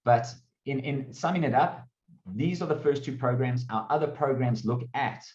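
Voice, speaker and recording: A man's voice: medium pitch, moderate pace, darkish tone. A man gives an upbeat, casual presentation over a video call. His voice carries a roomy echo, and the sound is slightly muffled. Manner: casual and upbeat, with fillers and restarts. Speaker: presenter of a coding course. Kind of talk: online open day presentation.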